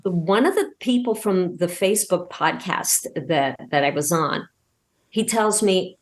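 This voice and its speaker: A woman guest chatting casually in an interview about her own creative work, acting out bits of dialogue as she tells it. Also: mid-range voice. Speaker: guest, audio drama podcast creator.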